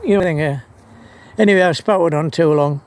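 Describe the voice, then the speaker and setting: Man, slightly high pitch, gravelly creak, casual monologue, enthusiastic, halting repetitions. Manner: enthusiastic, hesitant with repeated words. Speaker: amateur radio hobbyist vlogger.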